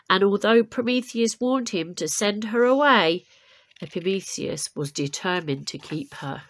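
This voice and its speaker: Slow, expressive woman reading a storybook. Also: lowish voice. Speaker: teacher reading a picture book to primary pupils. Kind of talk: expressive storybook read-aloud.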